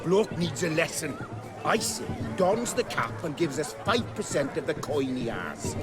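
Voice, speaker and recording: A man's voice: on the high side, very animated, a little slow, loud music behind the voice, over a noisy crowd. A man voicing a short, stern, no-nonsense card player in a video game. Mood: blunt, shrewd. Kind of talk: video game character acting.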